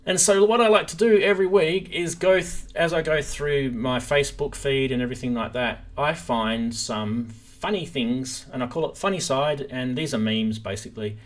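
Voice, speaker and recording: A man's voice: mid-range voice, moderate pace, hardly any pauses. Casual, enthusiastic man hosting a live stream. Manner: informal, enthusiastic, addressing viewers directly. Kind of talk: casual live stream chat about synthesizers.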